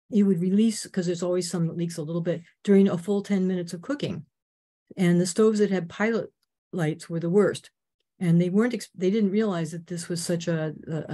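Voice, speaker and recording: An older woman from Canada giving an earnest, informative slide talk on a video call, clearing her throat now and then. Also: on the low side, warm tone. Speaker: presenter.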